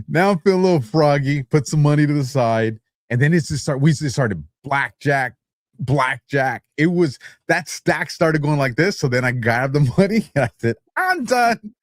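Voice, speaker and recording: A man's voice: a little high, expressive, measured pace, dry recording, studio quality. A man co-hosting a podcast in casual chat, rambling through a story in a self-deprecating way. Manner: rambling, self-deprecating, frequent restarts and laughs. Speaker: podcast co-host.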